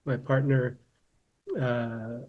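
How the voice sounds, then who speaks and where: A man's voice: medium pitch, level tone, very slow, lo-fi sound. A man in his fifties from Southern California, a philosophy professor speaking as a guest in a casual interview, thoughtful and often repeating words as he restarts.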